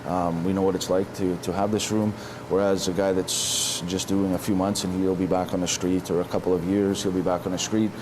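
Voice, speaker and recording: Very deep-voiced man, halting. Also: flat delivery, noisy background. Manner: halting, many "um"s and restarts. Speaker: inmate, chairman of a lifers group. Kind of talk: documentary interview answers.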